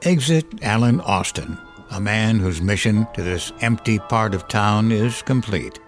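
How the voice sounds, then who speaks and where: A man playing the brooding narrator of a dark audio drama, measured and theatrical. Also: lowish voice, lively intonation, soft background music. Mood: ominous, wry.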